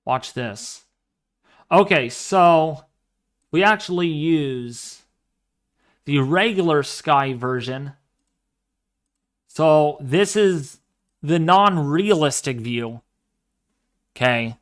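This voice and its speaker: A man in his twenties with a clear, smooth voice pitched somewhat high. He talks at an unhurried pace, thinking aloud as he clicks through an astronomy program. He often breaks into excited exclamations. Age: 20s. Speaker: livestream host. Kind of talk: casual livestream demo of astronomy software.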